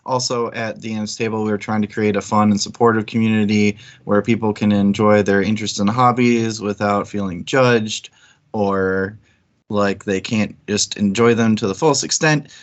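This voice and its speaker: Male book-stream co-host, lowish pitch, informal and conversational, slightly muffled recording. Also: steady tone, normal speed. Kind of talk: casual discussion with some read-out intro.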